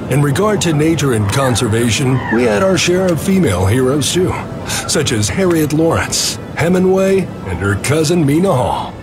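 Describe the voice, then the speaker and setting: Man as earnest historian, fairly high voice. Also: sing-song, moderate pace, noisy background. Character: earnest male American environmental historian. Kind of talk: scripted explanatory commentary.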